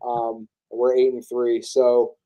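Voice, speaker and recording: A man's voice: mid-range voice, completely flat delivery, slow pace, dark tone, low-quality recording. A young man co-hosting a sports podcast, talking casually and loosely, often stalling on fillers and restarting his sentences. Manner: hesitant, many restarts and fillers. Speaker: podcast co-host.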